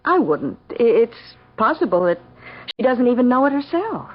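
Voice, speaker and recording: Husky, high-pitched soothing confidante. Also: female voice, big pitch swings, normal speed. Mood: reassuring. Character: calm, indulgent woman confidante, knowing about witches. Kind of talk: old-time radio drama acting. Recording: dull old radio recording.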